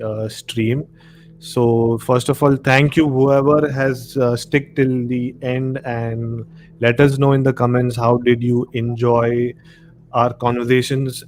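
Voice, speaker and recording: A man's voice: mid-range voice, darkish tone, a little hiss or hum. A man with an Indian accent co-hosting a casual film discussion on a live stream, conversational and repeating himself for emphasis.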